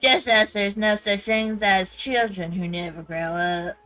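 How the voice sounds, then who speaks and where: A woman's voice: mid-range voice, fairly even intonation, a little slow, a bit bright, lightly acted. A young woman putting on a strained, earnest child's voice as she reads out notes in a game. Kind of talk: playful character reading over gameplay.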